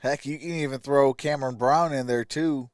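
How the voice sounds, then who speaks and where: A man's voice: mid-range voice, fairly even intonation, normal speed, no echo, studio quality. A man hosting a live stream, giving casual draft commentary and reading out stats in clipped phrases.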